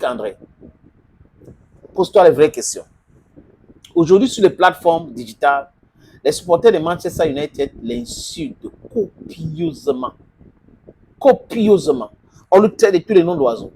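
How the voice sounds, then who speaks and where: A man delivers a football opinion monologue, lecturing and scolding as he speaks. His voice sits fairly high and swings widely in pitch. A gravelly rasp runs through it. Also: darkish tone, a little hiss or hum, low-quality recording. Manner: scolding, lecturing, addresses the player directly. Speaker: sports commentator.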